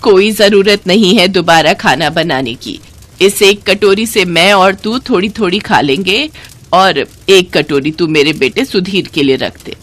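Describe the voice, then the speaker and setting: A woman's voice: fairly low pitch, a little slow. A woman voicing a miserly, bossy older mother-in-law who scolds and complains in a storybook tale. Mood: stern, scolding.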